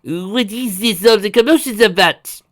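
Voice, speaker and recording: A man voicing a proud, excitable captain of the guards with a French accent and a pompous manner. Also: sits high, normal speed, dry recording, studio quality, pitched above the performer's own voice. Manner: pompous, exaggerated pride.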